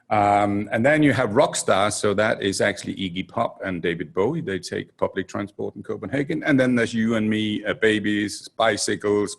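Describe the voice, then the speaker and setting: Gravelly low-voiced Danish man. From Denmark. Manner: loose, rambling with many fillers and restarts. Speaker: panelist. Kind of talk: conference panel talk. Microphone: handheld mic.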